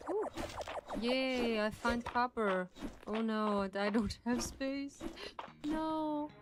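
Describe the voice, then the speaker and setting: Slow-talking woman streamer from Turkey. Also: mid-range voice, heavy background noise. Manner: chatty, halting, thinks aloud with many restarts. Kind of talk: casual gameplay commentary. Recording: loud game music behind.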